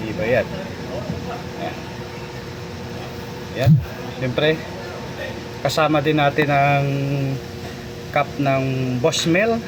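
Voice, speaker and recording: There is clear noise around this Filipino man as he vlogs. His voice sits in a middle register, and he talks casually to followers, repeating his hype in an informal way. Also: medium pitch. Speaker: motorcycle vlogger. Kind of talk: casual vlog chat to followers. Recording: noisy vlog audio with traffic ambience.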